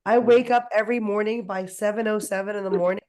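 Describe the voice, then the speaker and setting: A woman speaking hoarsely while getting over a cold. She chats enthusiastically on a panel and runs through long lists. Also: medium pitch, steady tone, fast talker, a little mellow. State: hoarse from a cold, losing her voice. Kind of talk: casual panel discussion.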